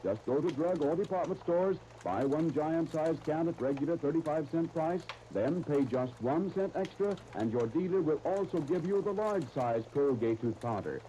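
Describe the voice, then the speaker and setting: A man's voice: on the high side, lively intonation, moderate pace, few pauses, mellow. An American man announcing in a scripted 1930s radio style, as for a serial and its sponsor. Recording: noisy 1930s radio recording.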